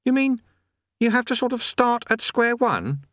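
A man voicing a demanding, whiny teenage boy in an audiobook reading, his voice pushed high and strained. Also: very animated, unhurried, fairly bright tone, no echo, clean studio sound, exaggerated voice. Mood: frantic whining. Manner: petulant, pleading, high and strained. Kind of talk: audiobook character voice.

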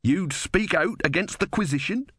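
A man's voice: sits high, lively intonation, moderate pace, a bit bright, slightly rough, no room sound, clean studio sound, voice pushed up, heavily acted. A man as an insistent, eager soldier. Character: eager, insistent male soldier, calculating and idealistic. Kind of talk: audiobook character voice.

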